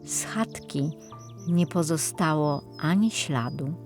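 Slow-paced woman storyteller. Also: low voice, darkish tone, soft background music. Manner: measured, dramatic storytelling. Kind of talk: scripted fairy-tale narration for children.

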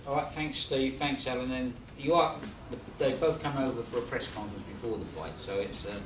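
A man's voice: medium pitch, normal speed. A middle-aged man, a boxing promoter chairing a press conference and talking informally. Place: room press conference at a long table. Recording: roomy, distant mic, clear background noise.

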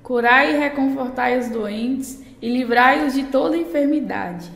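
A woman's voice: high voice, a little slow, vocal fry, fairly bright tone. A woman reading liturgical intercessions as a prayer leader. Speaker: prayer reader. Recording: echoey hall with long reverb.

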